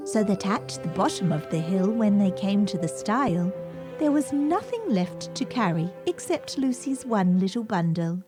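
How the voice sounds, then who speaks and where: Female storybook narrator: unhurried, expressive, very varied intonation, gentle music underneath. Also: mid-range voice. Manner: measured, expressive, dramatic emphasis. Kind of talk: scripted children's story narration. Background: gentle background music.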